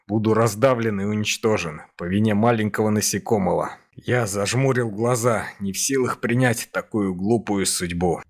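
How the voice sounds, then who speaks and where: A man voicing an English officer who recounts his past from a diary in a measured, grave radio-play monologue. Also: on the low side, natural voice. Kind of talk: first-person dramatic monologue, radio play.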